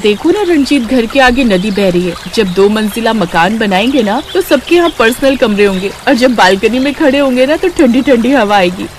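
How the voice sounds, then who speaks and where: A woman's voice: fairly high pitch, normal speed, hardly any pauses, slight background noise, natural voice. A woman playing a discontented young wife in a cartoon story, nagging and pleading expressively. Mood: eager, coaxing. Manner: complaining, expressive. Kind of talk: cartoon moral story acting.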